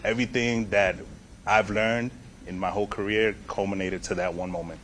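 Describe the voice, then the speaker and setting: A man's voice: lowish voice, steady tone, normal speed, a bit bright, a little hiss or hum. A man in his thirties from Puerto Rico, a police sergeant answering press questions in a plain, earnest way.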